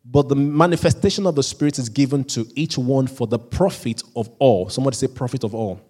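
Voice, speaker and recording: A pastor preaching a sermon, often repeating and restarting phrases, and chuckling at himself now and then. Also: male voice, medium pitch, husky. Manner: rises into louder, slower emphatic reading of scripture.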